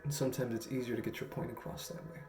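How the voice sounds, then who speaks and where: A young man talking reflectively to camera in a slow, halting, earnest way with long pauses. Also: mid-range voice, steady tone. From Guam. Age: young adult. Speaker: storytelling vlogger. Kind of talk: reflective personal talk to camera. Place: small room at home, indoors. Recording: roomy echo, faint music.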